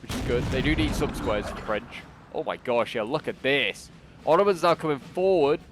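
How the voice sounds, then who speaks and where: A man with a cold, his voice stuffy and bunged up, gives loose, rambling commentary on a strategy game battle. His pitch sits in an ordinary middle range. Clear gunfire and artillery from the game sound behind him. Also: normal speed, background noise. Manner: chatty, thinking aloud with frequent fillers. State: congested with a cold. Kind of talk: casual gameplay commentary. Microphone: new desktop microphone.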